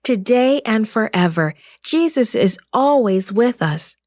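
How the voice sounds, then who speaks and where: A woman narrating a scripted Bible story in a measured, deliberate way. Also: on the high side, animated, darkish tone, no room sound, studio quality.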